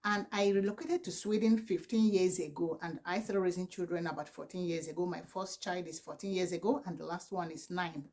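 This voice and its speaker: A woman in her forties speaking with a Nigerian accent, her first language Igbo. Her voice sits a little low, and she pleads earnestly, restarting her sentences often. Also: fairly even intonation, a little fast, hardly any pauses, darkish tone. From southeastern Nigeria. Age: 40s. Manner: earnest and pleading, with repeated "please" and frequent restarts. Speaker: vlogger, mother of four. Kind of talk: earnest advice talk to camera.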